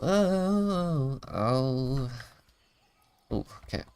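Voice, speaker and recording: A man's voice: mid-range voice, lively intonation, drawn-out words, a little mellow, smooth. A man chatting casually as a game streamer, rambling and self-deprecating. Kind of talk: casual livestream gameplay chat.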